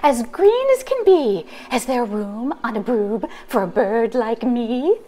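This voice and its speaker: A woman's voice: a little high, big pitch swings, slow pace, dark tone, pitched above the performer's own voice, full character voice. A woman voicing a polite green bird in a storybook, asking sweetly. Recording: noisy background.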